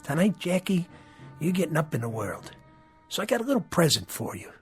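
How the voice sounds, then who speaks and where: An actor playing a menacing, sardonic gangster boss, mocking and coolly controlling in a radio drama. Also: male voice, on the high side, big pitch swings, brisk. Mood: menacing, smug. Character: menacing, sardonic gangster boss who needles his underling. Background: music.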